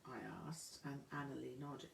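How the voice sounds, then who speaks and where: A woman's voice: rumbling low, little pitch movement, a little slow, gravelly. A woman reading a memoir aloud as scripted narration, voicing the dialogue within it. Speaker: audiobook reader. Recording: roomy, echoing room sound.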